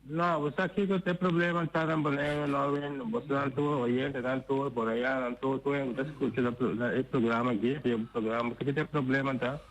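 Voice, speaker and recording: A man calling in to a radio show, talking in quick, repetitive phrases. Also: mid-range voice, fairly even intonation, poor audio. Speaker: caller. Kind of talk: radio call-in.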